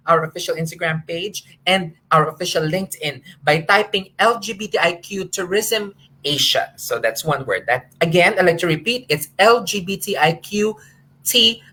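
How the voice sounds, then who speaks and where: A woman's voice: fairly low pitch, sharp tone. A woman moderating a live online talk, earnest and careful, with many small fillers and restarts.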